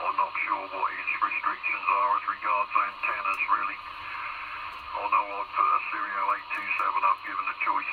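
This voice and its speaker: Man on a crackly, tinny CB radio. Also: fairly low pitch. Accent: English Home Counties. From southern England, Hertfordshire area. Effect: CB radio transmission. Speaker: amateur CB radio operator. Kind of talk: casual radio chat. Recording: narrow tinny CB radio, loud static noise.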